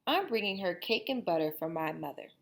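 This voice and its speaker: A woman's voice: fairly low pitch, expressive, fairly quick, gravelly. A woman voicing a vain, boastful young girl who admires herself, in a storybook read-aloud. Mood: smug, preening.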